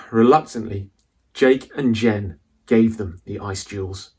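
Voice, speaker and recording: A man reading a children's adventure story aloud as a dramatic, suspenseful narrator with deliberate delivery. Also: fairly low pitch, darkish tone, slightly rough.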